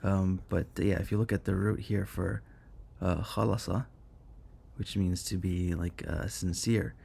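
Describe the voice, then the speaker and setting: Native Arabic-speaking man, dark mellow low voice, relaxed and chatty, teaching with frequent fillers. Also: a little slow, vocal fry, breathy edge. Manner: conversational, many fillers and self-corrections. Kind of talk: informal online lesson on Arabic morphology.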